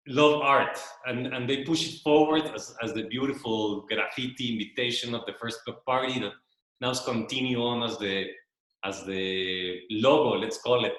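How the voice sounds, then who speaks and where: A man whose first language is Spanish, giving a casual spoken presentation in a loft, talking slowly and searching for words, often repeating himself. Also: medium pitch, a bit bright. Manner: slow, searching, repeats words while thinking. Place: loft room with a small audience. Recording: very echoey room.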